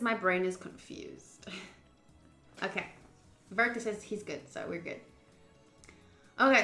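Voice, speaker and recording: A woman's voice: medium pitch, pushed and bright. A young woman streamer reading a visual novel aloud and chatting informally and expressively with viewers. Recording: roomy echo.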